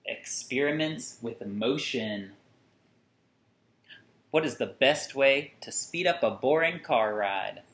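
Animated, slow-paced man reading to children. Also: medium pitch. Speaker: children's story reader. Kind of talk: expressive read-aloud of a picture book for children. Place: small furnished room at home, indoors. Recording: roomy indoor echo.

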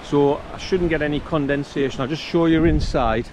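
A man's voice: moderate pace, a little mellow. North East English man, noisy outdoor recording. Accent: North East England. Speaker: camping vlogger. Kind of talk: casual outdoor vlog narration. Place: open grassy hillside valley by stream. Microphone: handheld camera at arm's length. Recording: noisy outdoors, wind and stream rumble. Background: wind and running water.

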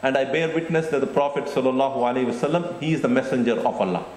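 A middle-aged man giving a deliberate, explanatory Islamic lecture into a handheld microphone, often repeating phrases. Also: medium pitch, darkish tone. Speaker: Islamic preacher. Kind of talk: religious lecture. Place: indoor stage with curtain backdrop. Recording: echoey room.

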